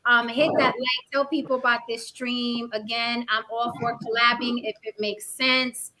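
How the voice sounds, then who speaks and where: A woman's voice: a little high, level tone, unhurried, sharp tone, rough recording. A woman hosting a live stream, chatty and upbeat, with plenty of ums. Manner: upbeat, chatty, filler-heavy.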